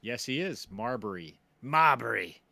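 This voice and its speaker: A man's voice: mid-range voice, lively intonation, unhurried, creaky, sharp tone, no room sound. A man co-hosting a casual livestream, chatting in a teasing, jokey way. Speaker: stream co-host, show team member.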